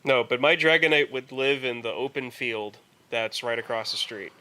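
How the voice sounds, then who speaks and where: A man co-hosting a podcast in casual chat, rambling haltingly and repeating words as he goes. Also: medium pitch, sharp tone, clear, resonant tone, poor audio. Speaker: podcast co-host.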